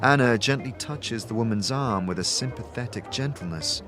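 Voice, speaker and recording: A man's voice: medium pitch, moderate pace, music in the background. A man giving dramatic second-person narration as the narrator of a role-playing audio drama. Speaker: narrator and game master.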